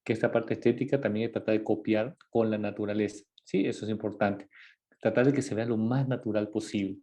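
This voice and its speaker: Low, mellow-voiced dentist lecturing casually. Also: male voice, steady tone, fairly quick. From Ecuador. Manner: conversational, many fillers and tag questions. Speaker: dentist giving a talk. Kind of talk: informal lecture.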